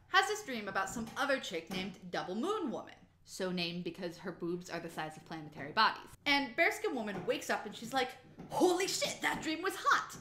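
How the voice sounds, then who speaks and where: A woman's voice: medium pitch, bright, forceful tone, reverberant, slight background noise. A woman giving a sassy, emphatic dramatic reading of a humorous story, drawing out words for comic effect. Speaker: amateur reader of a humorous myth retelling. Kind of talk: animated dramatic reading with comic, profane narration.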